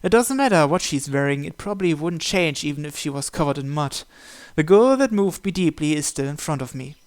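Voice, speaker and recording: Higher-voiced man narrating a visual novel. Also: animated, a little fast. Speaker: channel host reading visual novel text aloud. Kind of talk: dramatic first-person scripted narration.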